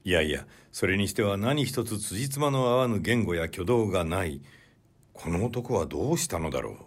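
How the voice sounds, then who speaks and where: A man reading classic literary fiction as an audiobook narrator, in a measured, deliberate way. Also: low voice, animated.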